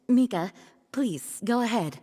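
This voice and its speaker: Composed polite game commander, slightly high voice, formal, hall reverb. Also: female voice, lively intonation, extremely slow, mellow, breathy edge, heavily acted. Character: poised, courteous female acting grand master. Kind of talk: video game character acting. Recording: reverberant hall, faint music.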